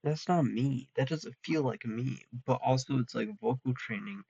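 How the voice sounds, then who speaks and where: A man's voice: medium pitch, normal speed, gravelly, warm tone, slightly rough, no echo. A young man in a college dorm room, rambling in a casual solo chat with a slightly nasal voice and lots of ums. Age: young adult. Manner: rambling, full of ums and restarts. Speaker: vlogger. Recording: dull lo-fi dry recording.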